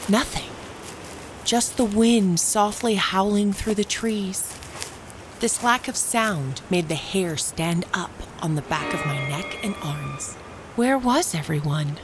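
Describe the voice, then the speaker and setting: A woman playing a wounded preteen camp girl, narrating a horror story in the first person in a deliberate, detached, dramatic way. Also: mid-range voice, lightly acted. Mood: wary, suspenseful. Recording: music behind the voice.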